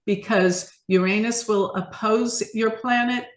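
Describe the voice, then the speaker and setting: A woman's voice: a little high, fairly even intonation, a little slow. Emphatic woman in an echoey room. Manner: emphatic, enthusiastic, asks and answers own questions. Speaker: guest astrologer and teacher. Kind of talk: explanatory astrology talk in an interview. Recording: roomy, echoing sound.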